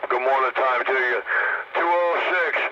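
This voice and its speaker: A man's voice: medium pitch, moderate pace. A brash, slangy man, bantering casually as a CB radio operator over a radio link. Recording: noisy muffled CB radio transmission.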